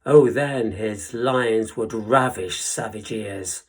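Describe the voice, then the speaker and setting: An actor playing a witty, impassioned young lord in a theatrical verse monologue, declaiming with deliberate pauses and swelling emphasis. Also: male voice, on the low side, slightly rough. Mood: ardent, lyrical. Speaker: Shakespearean actor performing a monologue.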